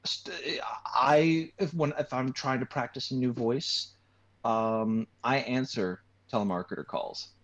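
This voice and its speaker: A man joining a livestream chat as a guest, speaking haltingly with many restarts and repeated words. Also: medium pitch, fairly bright tone, dull audio.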